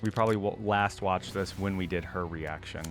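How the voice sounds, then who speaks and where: A man's voice: low voice, moderate pace, over music. A man hosting a reaction video, talking casually to viewers, musing aloud and reading game text.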